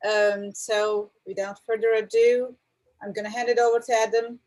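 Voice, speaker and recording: A woman's voice: a little high, level tone, moderate pace, darkish tone. Woman, host, online panel, casual, hesitant.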